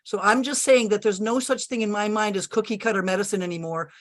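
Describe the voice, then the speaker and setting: A woman with a middle-pitched voice speaks with confidence. She gives health advice on a video call and leans on homely sayings as she talks. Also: fairly even intonation, fast talker. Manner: confident, folksy, uses sayings. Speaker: natural health practitioner.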